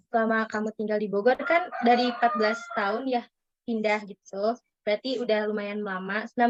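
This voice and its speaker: A woman's voice: mid-range voice, slight background noise. A young woman student interviewing someone, asking rambling questions with fillers and restarts. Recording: dry, dull lo-fi sound.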